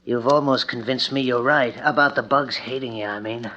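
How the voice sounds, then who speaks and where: A man playing a loyal assistant in a 1940s radio drama, matter-of-fact and steady. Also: mid-range voice, moderate pace, dull audio, natural voice. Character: loyal male handyman and assistant.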